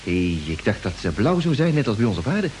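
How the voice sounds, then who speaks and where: A man's voice: on the low side, sing-song, a little fast, darkish tone. An actor playing a chatty, curious crewman in an old radio space drama, eager and colloquial. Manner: eager, colloquial, full of exclamations. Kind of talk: mid-century Dutch radio drama acting. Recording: hissy old radio recording.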